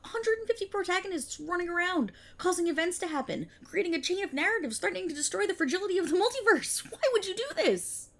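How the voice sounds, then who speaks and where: A woman's voice: extremely high pitch, expressive, quick pace, few pauses, fairly bright tone, pitched above the performer's own voice, full character voice. A woman performing an ASMR roleplay as a smug, vindictive multiverse police officer, with a theatrical, sarcastic and mocking delivery. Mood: appalled, scolding.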